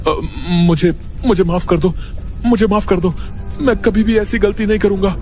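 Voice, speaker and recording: Guy doing a greedy younger brother in a cartoon story, dramatic, a bit high, soft music under. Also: normal speed, close to an everyday voice. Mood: terrified, begging. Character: greedy, irritable young brother, easily frightened. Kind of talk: animated moral-story voice acting.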